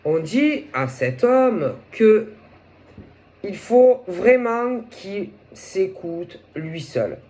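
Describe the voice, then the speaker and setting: A woman with a head cold and a sore throat, giving a casual tarot reading in a halting way, often searching for words. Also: mid-range voice, big pitch swings, mellow. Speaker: tarot reader.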